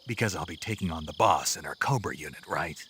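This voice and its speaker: An actor playing a terse soldier-spy reporting in over the radio in a game cutscene. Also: male voice, low-pitched, expressive, fast talker, fairly bright tone, slight background noise. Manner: terse, dry replies. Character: terse, gruff male soldier-spy in the field. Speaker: field agent. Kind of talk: video game cutscene acting.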